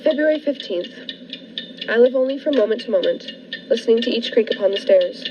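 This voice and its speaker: High-voiced, terrified woman, acted in a radio thriller. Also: lively intonation, a little fast, noisy background, natural voice. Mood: anguished, dreading. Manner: stammering, pleading, overwrought. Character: frightened, fretful woman trapped by a menacing man. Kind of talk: melodramatic 1940s radio thriller acting. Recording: dull lo-fi radio play recording with music.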